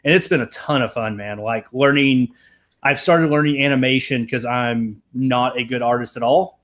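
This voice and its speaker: A man's voice: mid-range voice, normal speed, fairly bright tone. A young man from Tennessee with a Southern accent, a programmer talking as a podcast guest in casual, rambling, self-deprecating chat with casual swearing. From American South, Tennessee.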